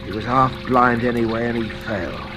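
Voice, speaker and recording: A man's voice: medium pitch, steady tone, normal speed, lightly acted, low-quality recording. Actor as theatrical, agitated radio-drama schemer, male. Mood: tense, furtive. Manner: agitated muttering to himself, theatrical. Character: panicky male ex-convict plotting to cover up a killing. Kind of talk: 1940s radio suspense acting. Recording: old radio recording with music underneath.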